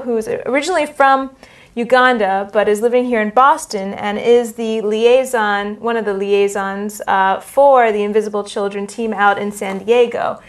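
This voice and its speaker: A woman hosting an interview, putting questions and introducing guests. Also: mid-range voice. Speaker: interviewer, host. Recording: somewhat distant from the mic.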